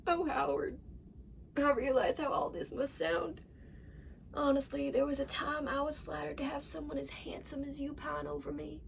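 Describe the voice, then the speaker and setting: Woman acting a high-voiced, desperate young farm wife. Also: level tone, moderate pace, vocal fry. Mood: wistful, tender. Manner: anguished, swinging between whispers and outbursts. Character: desperate young farm wife confessing to her absent husband. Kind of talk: dramatic film monologue performance. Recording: distant mic with faint background noise.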